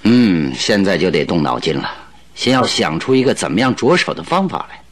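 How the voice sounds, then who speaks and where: Animated, jovial detective. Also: male voice, low-pitched, fairly quick, a little hiss or hum. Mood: resolute. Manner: theatrical, hearty, chuckling delivery. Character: confident, jovial male detective, shrewd and animated. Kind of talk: Mandarin radio drama acting.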